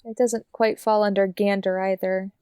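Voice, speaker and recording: A woman's voice: mid-range voice, flat delivery, moderate pace, darkish tone, clean tone, no echo, studio quality. A woman chatting casually as a player in a tabletop game.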